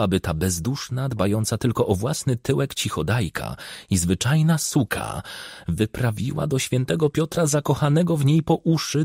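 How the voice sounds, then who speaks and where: A man's voice: medium pitch, gravelly, a little mellow, breathy edge, dry recording, studio quality. A man reading a crime novel as scripted audiobook narration.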